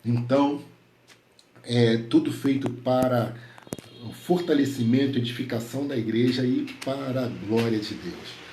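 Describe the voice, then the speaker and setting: A man's voice: mid-range voice, unhurried, dark tone. A male pastor with a slightly nasal voice from a head cold, praying fervently and speaking to his congregation from home over a headset. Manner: fervent prayer full of repeated invocations. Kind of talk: prayer and devotional address. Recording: echoey room, faint background noise.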